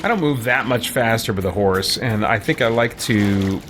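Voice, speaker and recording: A man's voice: on the low side, moderate pace, very noisy. A man giving casual let's play commentary, thinking aloud and muttering to himself as he goes, often repeating words. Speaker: gamer hosting a let's play.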